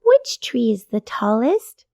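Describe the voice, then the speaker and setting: A woman voicing a cheerful young girl who leads a children's cartoon adventure, speaking in an encouraging, teacherly way with pauses. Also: a little high, huge pitch swings, exaggerated voice. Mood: bright, encouraging. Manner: slow, clear, encouraging teaching delivery with pauses. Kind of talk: children's educational cartoon, addressing young viewers.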